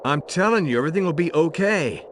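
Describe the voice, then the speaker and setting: An actor playing a wry, terse and determined investigator hero in a video game. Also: male voice, on the high side, expressive, fast, background noise, natural voice. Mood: urgent.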